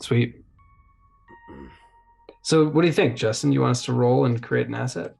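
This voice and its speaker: A man's voice: mid-range voice, moderate pace, creaky, a little mellow, soft background music. A man playing a tabletop roleplaying game, improvising in character and casually discussing play.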